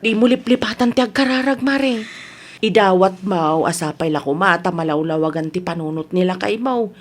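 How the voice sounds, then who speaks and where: A woman's voice: lowish voice, normal speed, close to an everyday voice. Woman playing a consoling middle-aged neighbour. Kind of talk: radio drama acting in Ilocano.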